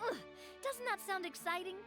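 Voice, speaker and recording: A woman's voice: extremely high pitch, normal speed. A woman voicing an upbeat, adventurous young woman in a video game, with a breezy, theatrical delivery. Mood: excited. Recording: loud game music behind. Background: game background music.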